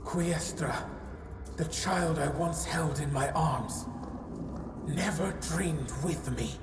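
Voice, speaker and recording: An actress playing an ancient giantess in a fantasy game, solemn, grieving and fiercely maternal, speaking gravely and formally. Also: female voice, low-pitched, slow pace. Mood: mournful. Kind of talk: dramatic fantasy game dialogue. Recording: echoey voice over loud music.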